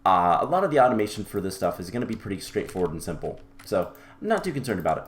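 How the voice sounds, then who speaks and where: A young man with a cold and a slightly raspy, hoarse voice, chatting casually through a modded game with lots of ums and self-corrections. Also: low-pitched, very animated, racing. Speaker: gaming channel host. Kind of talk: casual Minecraft modpack let's-play commentary.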